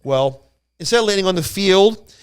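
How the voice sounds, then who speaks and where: A man with a smooth, fairly high voice spins a casual story on a podcast. He rambles along, stumbling over words and circling back to repeat phrases. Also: animated, normal speed. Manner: rambling, stammering, repeats himself. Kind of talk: casual anecdote telling.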